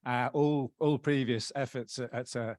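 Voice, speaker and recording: A man's voice: medium pitch, steady tone, darkish tone, no room sound. Guy at a conference mic thanking everyone, chatty and joking, lots of uhs, dry close sound. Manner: upbeat, joking, halting with many fillers. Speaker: outgoing association president. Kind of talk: closing remarks and thanks at a conference. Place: conference hall plenary.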